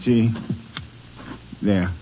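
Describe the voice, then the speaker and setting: A man acting as a deferential, cautious Mexican tracker in a 1950s radio drama, with a Mexican accent and simple, polite English. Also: drawn-out words. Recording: muffled, noisy old 1950s radio recording.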